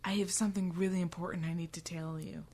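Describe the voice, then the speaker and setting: A woman's voice: fairly low pitch, steady tone, quick pace, very noisy. A woman co-hosting a podcast in casual banter, playful and theatrical, with some casual swearing. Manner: playful, theatrical, prone to mock dramatic readings and bursts of song. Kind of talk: casual banter about a book.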